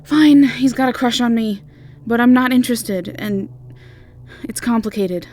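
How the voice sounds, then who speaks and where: A woman plays a guarded, long-suffering woman working at a fantasy inn. She speaks in a dry, reluctant, no-nonsense way, slightly raised in pitch, for an audio roleplay. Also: unhurried, a little mellow, lightly acted. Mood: defensive.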